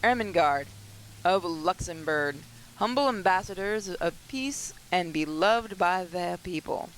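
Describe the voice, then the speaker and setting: Slightly hoarse gamer with joking asides. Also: medium pitch, a bit bright, a little hiss or hum, rough recording. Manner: joking, self-mocking asides. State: slightly hoarse, getting sick. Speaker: gaming YouTuber. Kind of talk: let's play commentary with read-aloud narration.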